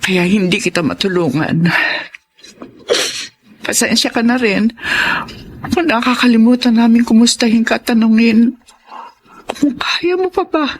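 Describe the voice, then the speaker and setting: A woman's voice: a little high, lively intonation, measured pace, long pauses, a little hiss or hum. An actress playing a loving, apologetic, self-sacrificing mother in a Filipino radio drama, speaking with tender, earnest emotion. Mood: tearful, grateful. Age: middle-aged. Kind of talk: Tagalog radio drama acting.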